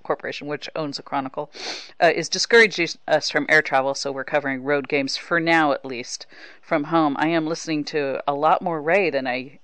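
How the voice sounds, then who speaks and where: A woman's voice: low voice, a bit bright, clear, resonant tone. An American woman hosting a conversational baseball podcast interview in a chatty, enthusiastic manner. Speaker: podcast host, baseball beat writer.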